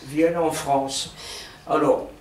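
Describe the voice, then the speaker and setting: A man asking formal, measured questions of a speaker after a lecture in an auditorium. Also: a little high, steady tone, a little mellow. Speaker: questioner at a debate. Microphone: distant. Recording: echoey hall, distant mic, faint noise.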